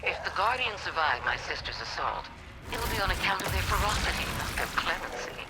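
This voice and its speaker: A woman's voice: lowish voice, sing-song, brisk, over loud music, poor audio. An actress playing a sly, scheming alien witch goddess in a video game, theatrical and silkily taunting. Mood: sly, amused. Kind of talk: video game villain monologue.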